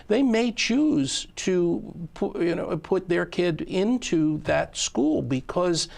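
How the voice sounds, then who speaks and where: Man, host, somewhat high voice, very gravelly, stammering restarts, chatty. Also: lively intonation, slow pace, darkish tone. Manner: stammering restarts, repeats words, talkative explainer. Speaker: show host and producer. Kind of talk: casual studio chat.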